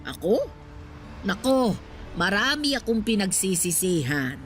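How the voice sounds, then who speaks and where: A woman's voice: mid-range voice, big pitch swings, unhurried, slight background noise. A woman playing an elderly Filipina mother in a radio drama, frank, motherly and reflective, with a Filipino accent. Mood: reflective, heartfelt. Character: elderly poor mother, frank, wistful, contentedly devoted wife.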